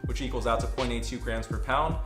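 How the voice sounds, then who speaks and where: A man hosting an interview, briskly introducing his guest and the topic in a casual, upbeat way. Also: medium pitch, fairly even intonation. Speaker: host, strength coach. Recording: echoey room with music underneath.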